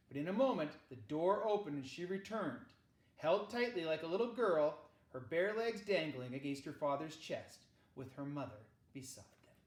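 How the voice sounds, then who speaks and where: A man reading a children's novel aloud as narrator, with measured, dramatic phrasing. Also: a little high. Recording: echoey, roomy sound.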